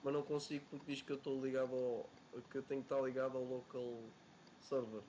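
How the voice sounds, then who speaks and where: A man's voice: mid-range voice, flat delivery, rapid-fire, darkish tone, poor audio. A man, a native Portuguese speaker, chatting casually and informally. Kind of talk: casual chat.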